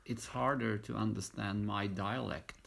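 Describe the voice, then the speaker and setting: A middle-aged Norwegian man, a native Norwegian speaker, talks in a relaxed podcast chat. His voice sits somewhat low and carries a strong gravelly fry. He tends to drop in short, dry remarks. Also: a little slow. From Norway. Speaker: podcast co-host. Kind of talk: casual chat while knitting.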